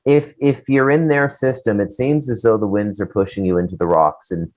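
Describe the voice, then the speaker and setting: A man's voice: on the low side, fairly even intonation, normal speed, darkish tone. A man co-hosting a casual talk show, giving opinions in a rambling, blunt, profane way. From Canada.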